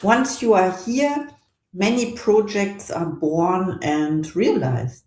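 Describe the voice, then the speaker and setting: Casting: woman podcast host, slow and encouraging, recorded in a roomy, echoey space. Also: medium pitch, a little mellow. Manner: encouraging, complimentary. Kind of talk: casual interview conversation. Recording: roomy echo.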